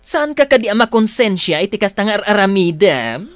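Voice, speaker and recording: A woman's voice: mid-range voice, animated, moderate pace, no echo, clean studio sound, lightly acted. An actress playing a teasing, scolding young woman friend in a Filipino radio drama comedy, chiding and exclamatory. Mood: disapproving.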